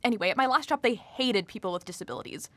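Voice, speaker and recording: A woman's voice: on the high side, expressive, fast talker, fairly bright tone, no room sound. A woman playing an upbeat, impatient young wheelchair user in a radio play, chatting in perky slang and muttering to herself. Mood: defensive. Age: young adult. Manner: perky, slangy, talks to self. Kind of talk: naturalistic radio drama acting.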